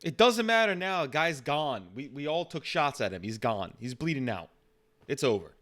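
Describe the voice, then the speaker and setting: A stern, fed-up male police officer, as a man acts him out in a roleplay game. He barks bluntly, swears and repeats himself, with his voice pushed up in pitch and hard-edged. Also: big pitch swings, normal speed, fairly bright tone. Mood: agitated, blunt.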